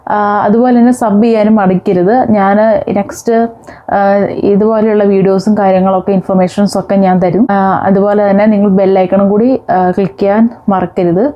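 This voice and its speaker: A woman's voice: medium pitch, steady tone, normal speed. A woman hosting an explainer vlog, talking directly to viewers. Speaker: video host. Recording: dull, lo-fi sound.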